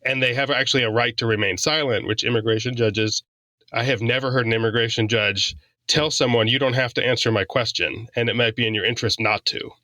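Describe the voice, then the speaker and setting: A man's voice: medium pitch, fairly even intonation, a little fast, sharp tone, dry recording, poor audio. A man speaking as a guest expert, explaining in a casual, conversational way. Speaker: law professor, podcast guest.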